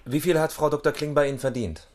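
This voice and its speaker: An actor playing a terse police detective in a radio crime drama, questioning in a dry, deadpan way. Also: male voice, a little high, quick pace, background noise, lightly acted. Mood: probing. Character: terse, dryly probing male police detective. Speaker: police chief inspector.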